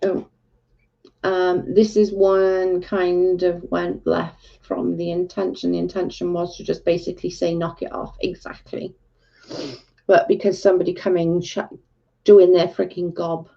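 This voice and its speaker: A woman's voice: fairly low pitch, level tone, unhurried, creaky, lo-fi sound. A Welsh woman hosting a casual livestream, talking bluntly and with exasperation to her chat. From Wales. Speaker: livestream host.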